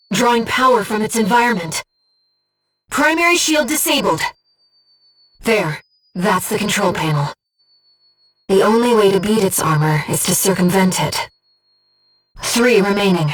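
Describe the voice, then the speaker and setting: A gentle female spirit of a video game, speaks as a mission operator with mid-pitched, precise and composed delivery. The voice is earnest and softly formal, sounding slightly removed from the mic, with music underneath. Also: lively intonation, moderate pace, pauses often, husky, far from the mic, low-quality recording. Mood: urgent. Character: gentle, earnest female disembodied coral being guiding a mercenary pilot. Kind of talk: scripted video game voice acting.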